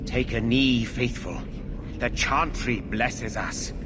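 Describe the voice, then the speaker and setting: Male fanatic knight played by an actor, slow, cold, preaching menace, fantasy game, noisy backdrop. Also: medium pitch, lightly acted. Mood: righteous menace. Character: zealous, hateful templar knight, cold and righteous. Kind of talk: dramatic video game cutscene acting. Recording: noisy game ambience behind.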